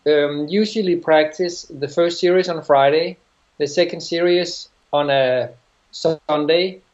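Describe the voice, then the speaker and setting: A middle-aged man with a Danish accent, answering interview questions as a yoga teacher, thoughtful and halting, often restarting phrases. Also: fairly high pitch, a little mellow. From Denmark. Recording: dull lo-fi sound.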